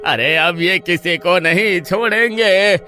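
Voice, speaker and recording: A man's voice: high-pitched, slow pace, pushed and bright, faint music behind, exaggerated voice. A man voicing a panicky, wailing comic villager. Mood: panicked. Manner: wails and shrieks in panic, comic. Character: panicky comic young male villager Hira.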